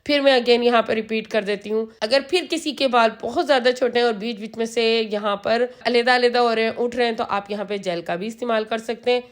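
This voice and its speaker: A woman talks through a hair styling tutorial, walking viewers through each step and repeating the important ones. Her voice is hoarse from a sore throat and sits a little high. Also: fairly even intonation, a little fast, hardly any pauses. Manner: patient, instructive, repeats key steps. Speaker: hairstylist and salon channel host.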